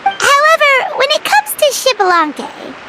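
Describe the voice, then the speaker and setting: A woman voicing a small, high-voiced young female helper who reports in a hesitant way. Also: wildly swooping pitch, a little slow, very noisy, exaggerated voice. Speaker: supervisor. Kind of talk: video game voice acting.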